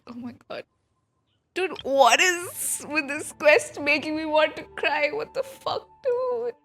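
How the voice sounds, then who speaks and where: A woman's voice: high-pitched, animated, slow, gravelly, fairly bright tone. A young woman chatting casually on a livestream as she reacts to a game, gushing and emotional in an informal way. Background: faint game music.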